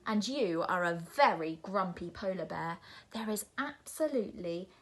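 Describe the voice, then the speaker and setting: A woman voicing a bored, stubborn young girl who insists loudly, in a breathy voice. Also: medium pitch, sing-song, a little slow. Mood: insistent. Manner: insistent, exasperated.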